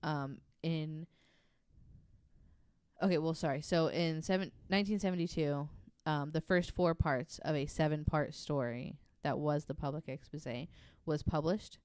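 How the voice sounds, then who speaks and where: A woman hosting a podcast, casually narrating a researched story from notes in an informal, halting way. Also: sits low, darkish tone, smooth, dry recording.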